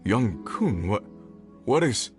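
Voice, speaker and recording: A man's voice: lowish voice, big pitch swings, takes it slowly, music in the background. A man voicing an earnest, polite leader of a search party in a video game, with a concerned manner.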